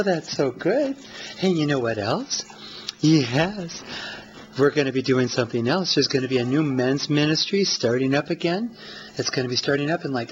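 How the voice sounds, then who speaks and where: A woman gives church announcements in a playful video, cooing them in sing-song baby talk as if to a pet. Her voice is low, and the lo-fi recording sounds dull. A faint hiss sits underneath.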